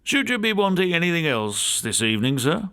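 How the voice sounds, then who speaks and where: Guy doing an uneasy old-fashioned servant for an audiobook, humble and rambly, folksy grammar, pitch moves about a lot. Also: big pitch swings, fairly quick, bright, forceful tone, heavily acted. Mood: uneasy, deferential. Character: deferential, uneasy college manservant with homely uneducated speech. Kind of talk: audiobook character voice.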